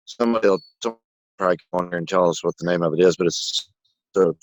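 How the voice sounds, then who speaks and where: A man in a casual spiritual conversation who rambles excitedly and swears casually. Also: low voice, rapid-fire, no echo, dull audio.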